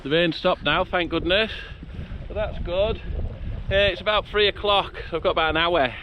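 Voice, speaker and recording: A man's voice: high voice, normal speed, lo-fi sound, background noise. A man, chesty after an illness, chatting and thinking aloud as he hikes up a mountain path. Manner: chatty, thinking aloud, coughs now and then. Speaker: hiker vlogger. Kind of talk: casual outdoor vlog narration.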